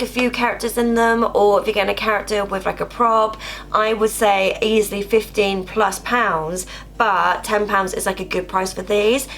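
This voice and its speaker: A woman's voice: medium pitch, fairly bright tone. A woman vlogging casually about her collectibles, chatty and rambling, getting over a cough and coming down with a cold. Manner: chatty, rambling, leans on filler words like "obviously" and "actually". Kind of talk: casual haul vlog.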